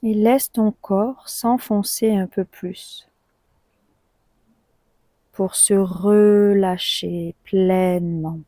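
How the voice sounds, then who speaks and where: A woman leads a guided relaxation with a soft, mellow tone. She speaks very slowly and calmly, leaving long pauses between phrases. The recording is close and dry. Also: medium pitch, no echo. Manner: calm, soothing, unhurried with long pauses. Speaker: yoga nidra guide.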